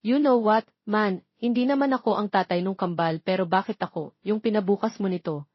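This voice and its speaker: Babaeng gumaganap na prangkang binatang kaibigan, medyo paos. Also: female voice, medium pitch, a little fast, no echo, close to an everyday voice. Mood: teasing. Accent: Filipino. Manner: blunt, joking. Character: blunt, teasing young male best friend. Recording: dull, lo-fi but dry recording.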